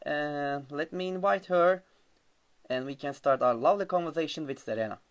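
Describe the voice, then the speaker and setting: A man's voice: a little high, normal speed. A man hosting an online teacher talk show over a video call, friendly and hesitant with many fillers and nonnative phrasing. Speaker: host.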